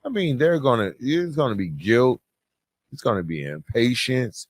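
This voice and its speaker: A man hosting a podcast, giving earnest, reflective advice in a measured way and checking in with the listener as he goes. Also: mid-range voice, sing-song. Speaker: podcast host.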